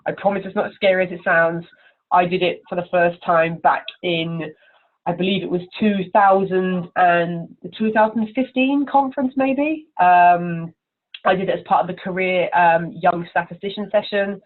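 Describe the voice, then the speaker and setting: A woman's voice: on the low side, fairly even intonation, moderate pace. A woman giving informal, encouraging interview answers as a conference chair over the telephone. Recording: narrow, tinny telephone line.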